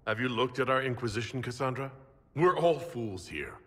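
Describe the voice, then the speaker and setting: A man's voice: mid-range voice, normal speed, natural voice. A man playing a commanding, level-headed leader in a video game, authoritative and reasoning. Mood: calm, consoling. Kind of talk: dramatic video game acting. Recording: long hall reverb.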